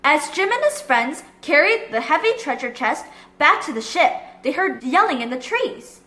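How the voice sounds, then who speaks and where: High, animated woman reading a storybook. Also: normal speed, roomy sound. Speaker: children's storybook narrator. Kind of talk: expressive read-aloud narration.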